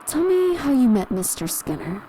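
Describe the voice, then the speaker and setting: An actress playing a calm, measured female interrogator who gently probes with questions in an audio drama. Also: sits high, poor audio. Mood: calm, probing. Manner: measured, controlled, gently probing. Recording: clearly audible background noise.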